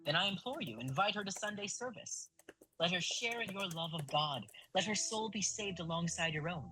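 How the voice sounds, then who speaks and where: A man playing an earnest, fatherly priest in an audio drama, speaking in a formal, measured and preachy way. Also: high voice, lively intonation, pushed and bright, soft background music, rough recording. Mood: earnest, counseling. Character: earnest fatherly priest counseling a young confessor.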